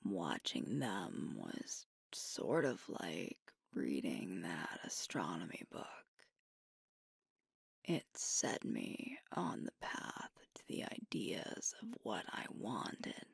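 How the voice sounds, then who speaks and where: A woman playing a sweet, devoted werewolf girlfriend in an ASMR roleplay, speaking in a hushed, breathy, tender voice close to the microphone. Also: very deep voice, sing-song, no room sound, heavily acted. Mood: tender, wistful. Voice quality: breathy, whispery. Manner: slow, tender, hushed delivery with pauses. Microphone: close microphone, dry.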